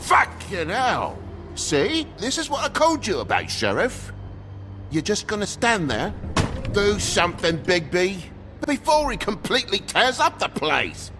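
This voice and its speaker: A man playing a flustered, foul-mouthed talking toad with a British accent, excitable and grumbling, in a video game. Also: high-pitched, measured pace, music in the background, full character voice. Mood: agitated.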